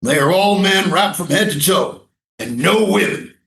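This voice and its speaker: A woman's voice: fairly low pitch, expressive, a little slow, fairly bright tone, reverberant. A woman acting a hostile, impatient US Army soldier in a staged reading, curt and barking. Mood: irritated.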